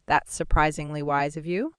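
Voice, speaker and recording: A woman's voice: deep voice, steady tone, normal speed, a bit bright, clear, resonant tone, no echo, clean studio sound. A woman voicing a cruel, mocking male sorcerer in an audiobook, with a sneering, coolly amused manner. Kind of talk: audiobook dialogue reading.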